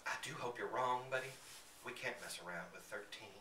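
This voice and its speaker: A man voicing a timid, gentle, superstitious elderly Southern woman in a folksy, polite storybook reading. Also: medium pitch, a little fast, close to an everyday voice. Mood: earnest, superstitious. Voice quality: soft, whispery when scared. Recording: echoey bookstore reading, distant mic, faint noise.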